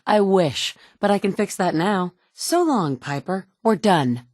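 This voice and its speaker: An actress playing a capable, dry-witted survivor and leader in a video game, speaking directly and matter-of-factly. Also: female voice, mid-range voice, animated, a little slow, a little mellow, dry recording. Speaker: player character. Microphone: close studio mic.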